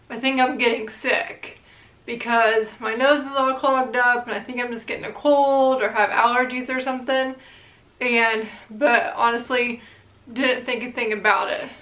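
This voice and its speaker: A young woman chatting casually to her channel's viewers, relaxed and rambling with plenty of fillers. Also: fairly high pitch, roomy sound, poor audio. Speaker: vlogger. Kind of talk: casual chat to camera.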